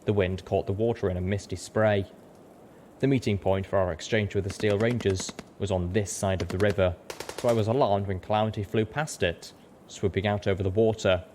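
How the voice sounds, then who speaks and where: Guy reading a story out loud, lowish voice, bit of rumble in the background. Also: steady tone, fairly quick. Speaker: narrator reading a fan novel aloud. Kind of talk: dramatic audiobook narration.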